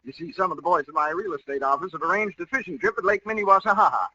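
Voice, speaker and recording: A man's voice: high-pitched, fast, sharp tone. An actor playing a blustery, jolly, pompous lodge leader and businessman in a 1940s radio comedy. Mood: jovial, secretive. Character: blustery, secretive male lodge leader and real-estate boss. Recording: hissy, muffled old radio broadcast.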